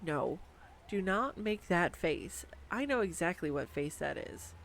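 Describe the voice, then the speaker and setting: A woman's voice: lowish voice, expressive, a little slow, vocal fry, slight background noise. A woman voicing a giggly, rambling nerdy girlfriend. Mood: tender. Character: excitable young adult girlfriend, nerdy and rambling. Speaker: voice actor. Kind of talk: scripted audio roleplay. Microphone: USB condenser microphone.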